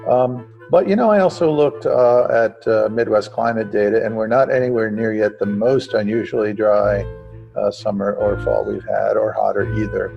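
A man's voice: on the low side, fairly even intonation, moderate pace, gravelly, soft background music. An American man co-hosting an environmental news podcast, speaking in a hedging, self-correcting way. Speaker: podcast co-host. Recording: dull, muffled lo-fi audio.

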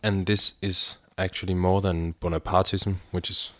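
A man's voice: low voice, little pitch movement, moderate pace, no echo. A man co-hosting a podcast in a casual, conversational discussion style. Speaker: podcast co-host.

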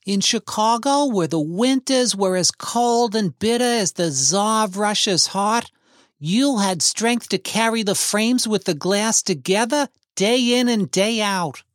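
A man voicing a sharp-tongued, indignant old immigrant wife in a Yiddish-inflected American accent, full of querulous questions, for a story reading. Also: sits high, measured pace, no room sound, studio quality, voice pushed up. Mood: nagging. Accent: Yiddish-inflected American English.

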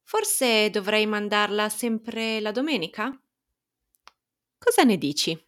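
Italian woman, newsletter reading, teacherly, very slow delivery, lively intonation, addressing the listener. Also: medium pitch. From Italy. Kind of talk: reading a personal newsletter aloud.

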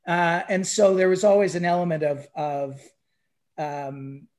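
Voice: medium pitch, measured pace, darkish tone. A podcast speaker in casual discussion of music history, stammering, repeating words and often checking with "right?". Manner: frequently tags phrases with "right?".

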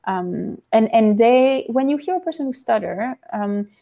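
Woman, researcher guest; higher voice, mellow tone; thoughtful, searches for words. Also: expressive, measured pace, creaky. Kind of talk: conversational podcast interview.

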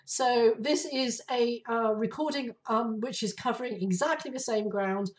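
A woman hosting her own podcast, her voice raspy and hoarse from flu, talking casually with many ums and uhs. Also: fairly high pitch, a little slow, recorded from a distance. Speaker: podcast host. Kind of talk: casual solo podcast talk.

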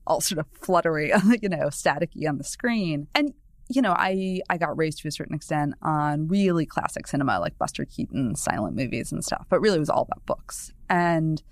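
New england woman, 30s, podcast guest, low voice with vocal fry, rambly and chatty, dry close mic. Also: expressive, fairly quick, no echo. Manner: chatty, discursive, many "you know" asides, laughs easily. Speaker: guest, newspaper columnist. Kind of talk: casual podcast conversation.

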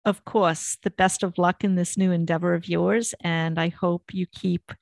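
A woman's voice: lowish voice, flat delivery, unhurried, gravelly, dry recording. A woman hosting a casual local interview, asking questions and responding conversationally. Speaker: interviewer, host.

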